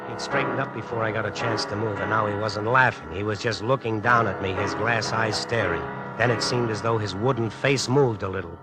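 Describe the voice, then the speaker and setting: A man's voice: on the low side, a little fast, hardly any pauses, over loud music, natural voice. An actor playing a trapped, nervous tough guy who narrates his own story in terse 1950s radio noir style. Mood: hushed calculation. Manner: clipped, terse, tense first-person storytelling.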